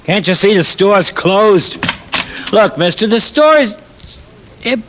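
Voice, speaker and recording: An actor playing a grumpy middle-aged grocer in an old radio drama, blunt in speech. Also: male voice, high voice, lively intonation, slow, background noise. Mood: gruff. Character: gruff grocer Barney, middle-aged shopkeeper. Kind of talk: 1950s radio drama acting.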